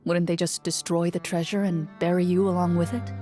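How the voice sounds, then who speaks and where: An actress playing a composed, formal female duelist and monster hunter in a video game, speaking in a measured, reserved manner. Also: on the low side, flat delivery, dark tone, faint music behind, lightly acted. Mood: cool, probing.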